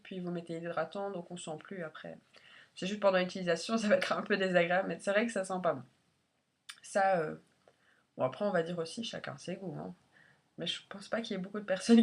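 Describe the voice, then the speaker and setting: A woman with a congested, head-cold voice chats informally and a little hesitantly as she reviews products in a casual beauty vlog. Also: mid-range voice. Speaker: beauty vlogger.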